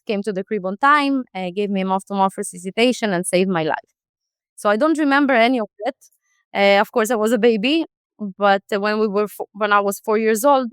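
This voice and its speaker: A woman's voice: medium pitch, a little fast, smooth, no echo, clean studio sound. A woman in her thirties from Israel, talking casually as an interview guest with many fillers and restarts. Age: 30s. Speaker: guest, company founder.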